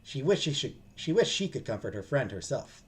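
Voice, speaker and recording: A man with a medium-pitched voice hosts a game stream and reads a visual novel aloud. His delivery is animated and dramatic, with pitch that moves around a lot as he narrates. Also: brisk.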